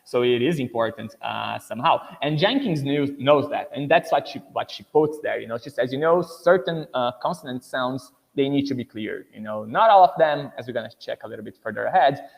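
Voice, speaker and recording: A man's voice: medium pitch, brisk, gravelly, in a large hall, poor audio. A man from Brazil with a Brazilian Portuguese accent, an English teacher giving a conversational webinar lecture full of "you know" and "right".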